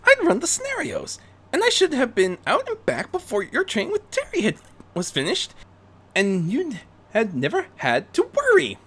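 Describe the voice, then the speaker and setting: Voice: sits high, huge pitch swings, normal speed, slightly rough, pitched above the performer's own voice, heavily acted. A performer voicing a young female unicorn vigilante, refined and determined, speaking in an earnest, theatrical, articulate way for a fan fiction reading. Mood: defiant, earnest.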